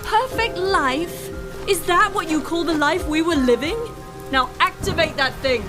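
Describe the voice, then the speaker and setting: An actress playing a demanding, sarcastic, greedy wife in a radio drama, with a biting, insistent delivery. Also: female voice, very high voice, measured pace. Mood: angry, demanding. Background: music.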